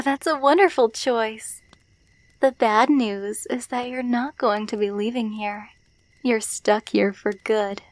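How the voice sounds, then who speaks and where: A woman's voice: a little high, no echo. A woman playing a possessive alpha werewolf in an ASMR roleplay, taunting and condescending as she coos pet names. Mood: teasing, possessive. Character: dominant, possessive female alpha werewolf, teasing and menacing.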